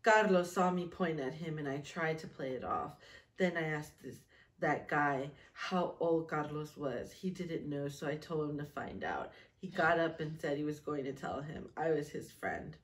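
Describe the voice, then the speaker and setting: Low-voiced woman in an echoey room. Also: moderate pace. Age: late 30s. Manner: expressive, giggly, self-interrupting. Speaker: vlogger mom reading her old journal. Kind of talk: reading a diary aloud with casual asides. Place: small indoor room, seated at a table. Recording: roomy echo, distant mic.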